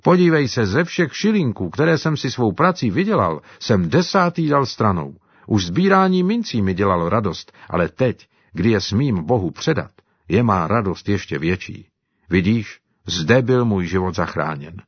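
Jubilant devout villager, played by a man, high and eager in tone, husky and dull-sounding. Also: dry recording, exaggerated voice. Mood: earnest. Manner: excited, exclaiming. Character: cheerful grateful African man, warmly devout.

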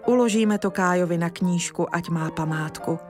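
A woman voicing a steady village father in a storybook reading. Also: lowish voice, soft background music, natural voice. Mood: amazed.

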